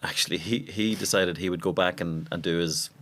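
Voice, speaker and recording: A man's voice: low voice, steady tone, fairly quick, fairly bright tone. A middle-aged man, a musician guest on a podcast, telling long, enthusiastic anecdotes in casual conversation. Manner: expansive, enthusiastic anecdotes with repetitions.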